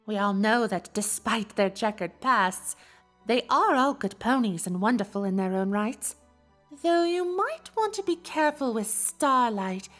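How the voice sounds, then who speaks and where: A woman voicing a refined, dramatic, confident unicorn pony socialite in an audio story, with genteel, theatrical delivery. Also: sits high. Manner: theatrical, genteel, advising with affectionate endearments. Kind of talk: scripted character voice in audio story.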